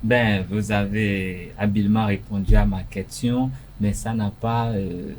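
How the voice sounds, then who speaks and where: Low-voiced man, earnest probing journalist. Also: fairly even intonation, a little slow, a little mellow, a little hiss or hum. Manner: earnest, probing questions with a personal stake. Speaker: journalist co-host. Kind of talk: television panel debate.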